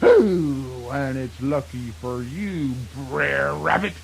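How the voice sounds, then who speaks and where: A man voicing a boastful, gullible, easily flustered fox in a children's radio folk tale, with a husky, folksy Southern US delivery. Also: mid-range voice, expressive, heavily acted. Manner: folksy, chuckling, drawn-out delivery.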